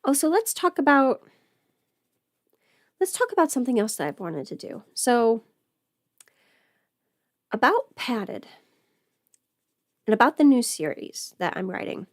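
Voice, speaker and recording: A woman's voice: a little high, sing-song, a little slow, long pauses, dry recording, clean studio sound. A woman chatting informally on a livestream, rambling and thinking aloud as she goes. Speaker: livestream host, audio creator. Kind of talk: casual livestream chat.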